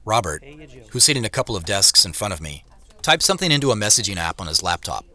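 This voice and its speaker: A man's voice: lowish voice, sing-song, fast talker, pushed and bright, dry recording, exaggerated voice. A man playing an obsessive professional puzzling over a mystery, narrating in a confiding, conversational way as if reasoning aloud. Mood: focused. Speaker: narrator and lead character. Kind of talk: first-person audio drama narration, conversational.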